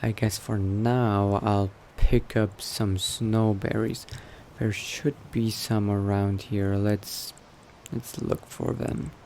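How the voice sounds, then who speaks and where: A man narrating his role-play gameplay in character, musing to himself in an unhurried, gravelly voice. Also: fairly low pitch, level tone, darkish tone, slight background noise. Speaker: gaming video host, role-playing player.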